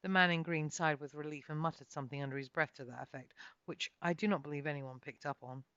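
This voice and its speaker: A woman's voice: sits low, fairly even intonation, fast, fairly bright tone, no room sound. Woman, author narrating, first-person fantasy storytelling, theatrical emphasis, very dry and muffled recording. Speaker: author reading own novel.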